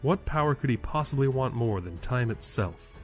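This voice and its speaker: A man's voice: medium pitch, expressive, moderate pace, soft background music. A man reading a scripted fantasy story as a dramatic storyteller.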